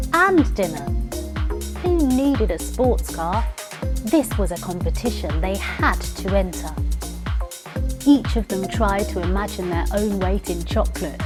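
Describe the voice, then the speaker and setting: A woman storyteller reading a children's story in expressive scripted narration. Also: mid-range voice. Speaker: professional storyteller.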